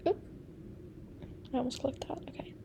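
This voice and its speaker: A young woman chatting casually about books, often half-whispering, with a voice worn down by a coming cold. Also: moderate pace. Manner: soft, often whispery, gushing. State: losing her voice, getting sick. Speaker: book vlogger. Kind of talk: casual chat, reviewing books.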